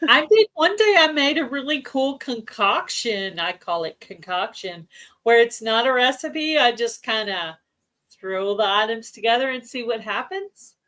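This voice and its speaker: A woman's voice: a little high, animated, a little slow, sharp tone. A married woman chatting casually on a livestream, friendly and agreeable. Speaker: livestream co-host. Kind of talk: casual chat.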